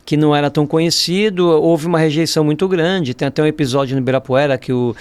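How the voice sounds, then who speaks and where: A man's voice: fairly high pitch, fast talker, darkish tone, smooth, no echo. A Brazilian man telling stories casually as a podcast guest, informal and often ending phrases with tag questions. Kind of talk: casual conversational storytelling.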